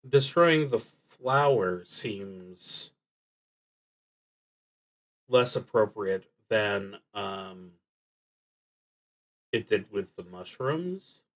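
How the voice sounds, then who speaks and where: A man's voice: fairly low pitch, expressive. A man streaming a solo tabletop roleplaying game, thinking aloud in a hesitant, musing, unscripted way. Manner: hesitant, musing, halting delivery.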